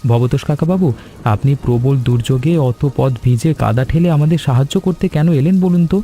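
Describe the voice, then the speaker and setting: A man's voice: medium pitch, brisk, dark tone, faint music behind, natural voice. A man playing a dutiful, respectful grown son of a farmer in a scripted cartoon.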